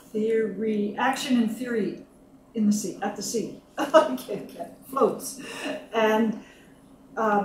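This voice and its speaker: A woman hosting and moderating a panel briefing, introducing speakers in a measured, deliberate way with light fillers. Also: mid-range voice. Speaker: host and moderator. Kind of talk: event introduction. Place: office room. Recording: roomy, distant mic, noisy.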